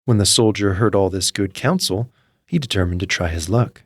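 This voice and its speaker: A man narrating a classic fairy tale from a script in a measured storyteller's manner. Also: deep voice, no echo, clean studio sound.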